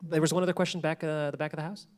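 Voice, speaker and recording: A man's voice: on the high side, fairly even intonation, rapid-fire. A man speaking enthusiastically and casually as a podcast creator at a public talk with audience questions. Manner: enthusiastic, effusive. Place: library event with audience.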